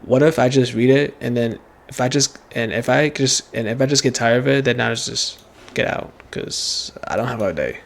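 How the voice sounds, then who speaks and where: A man's voice: mid-range voice, normal speed, vocal fry. A man in his late teens doing casual, rambling game playthrough commentary, often repeating himself and hesitating. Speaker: gaming streamer.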